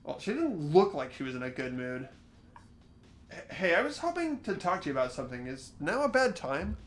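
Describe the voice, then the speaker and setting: A man's voice: a little high, wildly swooping pitch, fairly quick, reverberant. A man voicing an earnest, slightly hesitant teenage boy who reasons aloud as he narrates a game mystery. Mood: hesitant, resigned. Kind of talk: fan voice-over of visual novel game.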